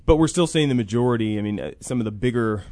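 A man's voice: medium pitch, expressive, quick pace, mellow, no room sound, studio quality. A man speaking as an interview guest on a radio talk show, explaining things at length in careful, qualified points. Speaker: interview guest, college athletics program coordinator.

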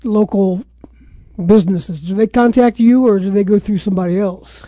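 A male radio host with a very dark, mellow tone puts questions in a casual way. The recording is dry, with hardly any room around him. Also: high-pitched, normal speed, dry recording. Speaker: radio sports talk host.

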